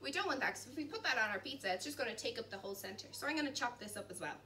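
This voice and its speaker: Bright-voiced young woman teaching cooking. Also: fairly high pitch, animated, very fast. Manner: chatty and encouraging, talks while chopping and mixing. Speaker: cooking instructor. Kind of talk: cooking demonstration. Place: large indoor kitchen. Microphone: camera at a distance. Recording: distant mic, faint background noise.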